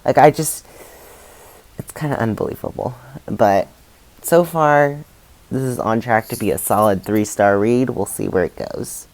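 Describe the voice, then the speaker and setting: A man talking in a chatty, informal and halting way, giving casual opinions as a book vlogger. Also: mid-range voice, a little mellow, rough recording.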